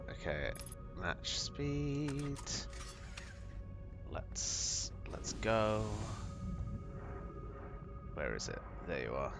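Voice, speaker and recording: A man streaming a video game and chatting with viewers, thinking aloud with lots of ums, his voice gravelly from a recent cold. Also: on the low side. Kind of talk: live gameplay commentary, chatting with viewers. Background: game music.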